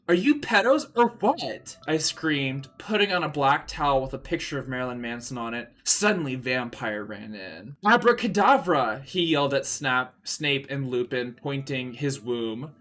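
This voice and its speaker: A man's voice: fairly high pitch, pushed and bright. Man with a mock-serious theatrical read. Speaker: streamer. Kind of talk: comedic dramatic reading.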